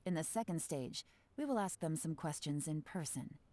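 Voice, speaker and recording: A woman's voice: deep voice, animated, normal speed, dark tone. An actress playing a composed, sly female operative in a video game, speaking in a cool, assured, businesslike way. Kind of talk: English video game voice acting. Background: faint game music.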